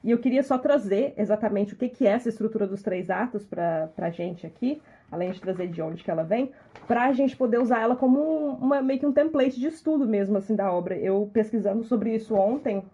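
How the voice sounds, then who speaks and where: A Brazilian woman from Minas Gerais hosts a casual podcast chat. Her voice is mellow and a touch husky. She talks her way through ideas, often restarting on small words. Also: mid-range voice, fairly quick, dull audio. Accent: Brazilian Portuguese. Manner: thoughtful, repeats small words while explaining. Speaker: podcast host.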